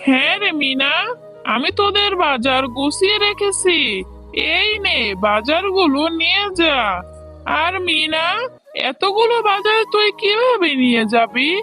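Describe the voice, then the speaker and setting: A woman's voice: very high voice, lively intonation, measured pace, sharp tone, faint music behind, full character voice. A woman voicing a caring tigress shopkeeper aunt in a children's cartoon story. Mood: friendly. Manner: caring, sympathetic. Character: kindly, pitying tigress aunt who keeps a shop.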